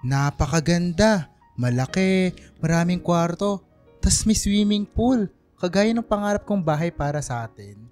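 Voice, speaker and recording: A doting, joking working-class dad. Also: male voice, high voice, lively intonation, unhurried, darkish tone, faint music behind. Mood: upbeat. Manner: affectionate, joking, wistful. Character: cheerful, doting working-class father, a house painter. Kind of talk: radio drama dialogue.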